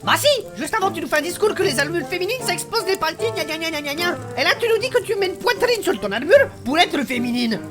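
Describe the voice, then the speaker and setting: A woman's voice: very high voice, expressive, fast talker, hardly any pauses, over music. A woman playing a lecherous, defensive man who whines and protests in a comedic fantasy audio drama. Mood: mocking, annoyed. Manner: whining, mocking, protesting. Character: whiny, sleazy, argumentative man, lecherous and defensive.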